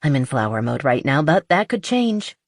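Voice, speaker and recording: Female reader as bubbly, enthusiastic young woman in a romance audiobook, lifted pitch, dry sound. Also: big pitch swings, normal speed, dry recording, heavily acted. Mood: upbeat. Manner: chatty and enthusiastic. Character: cheerful, eager, artsy young woman in love. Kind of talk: audiobook character dialogue.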